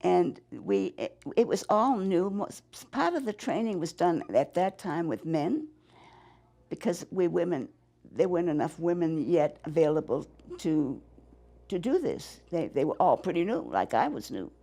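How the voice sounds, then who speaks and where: A woman in her nineties with a New England accent, recalling her past in an interview, halting and rambling with many restarts. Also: fairly low pitch, sing-song, vocal fry, dark tone. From Massachusetts. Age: 90s. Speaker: interviewee, war veteran. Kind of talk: oral history interview.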